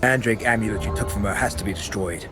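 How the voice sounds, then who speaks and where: Deep-voiced stern inquisitor, played by a man. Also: close to an everyday voice. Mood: cold, menacing. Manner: measured, authoritative, threatening. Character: stern, commanding male inquisitor of a grim medieval church. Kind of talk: video game cutscene acting. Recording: dramatic music behind the voice.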